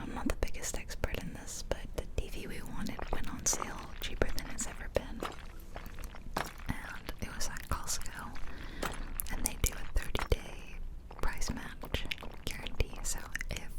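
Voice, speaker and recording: A woman whispering softly and breathily into a close microphone, chatting casually in a rambling ASMR style. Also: moderate pace, rough recording. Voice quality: soft, breathy unvoiced whisper. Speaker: ASMR video creator. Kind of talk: ASMR whispered casual chat over gameplay. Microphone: close ear-to-ear microphone.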